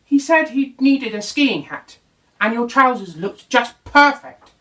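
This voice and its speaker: Man doing a sheepish, silly, high-voiced dog. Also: animated, a little slow, fairly bright tone, exaggerated voice. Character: silly, apologetic male dog. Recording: voice distant from the mic.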